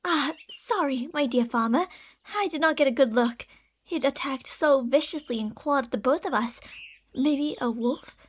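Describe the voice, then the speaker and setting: Female performer as obsessive serpent woman for ASMR roleplay; high voice, soft formal delivery, soothing with a deceitful, possessive edge. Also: moderate pace, lightly acted. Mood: solemn, apologetic. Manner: soft, formal, soothing speech with breathy whispers.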